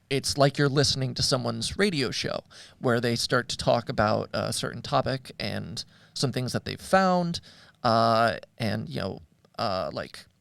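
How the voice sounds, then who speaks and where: A man's voice: mid-range voice, vocal fry, dry recording. A man in a casual discussion as a show host, speaking hesitantly and searching for his words. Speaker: podcast host. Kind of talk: casual discussion of audio dramas.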